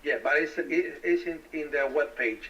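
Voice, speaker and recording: A man's voice: a little high, moderate pace, pushed and bright, recorded from a distance, dull audio. A man with Spanish as his native language, talking casually as a bilingual co-host on a live stream. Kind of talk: casual live-stream discussion, switching between English and Spanish.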